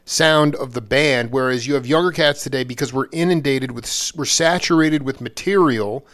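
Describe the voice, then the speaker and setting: A man's voice: mid-range voice, moderate pace, fairly bright tone. A man hosting an interview, asking long, loose questions full of slang and restarts. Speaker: interviewer host.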